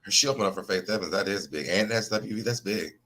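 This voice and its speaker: Deep-voiced, bright, inquisitive man. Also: quick pace. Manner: inquisitive, asks follow-up questions. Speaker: recap co-host. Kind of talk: casual recap chat.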